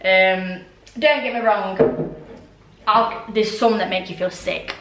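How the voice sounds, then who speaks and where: A young woman with a voice going hoarse, chatting casually through a home haul with lots of ums and asides. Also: medium pitch, fairly even intonation, takes it slowly, fairly bright tone, echoey room, far from the mic, slight background noise, low-quality recording. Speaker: vlogger. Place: orangery at home, indoors.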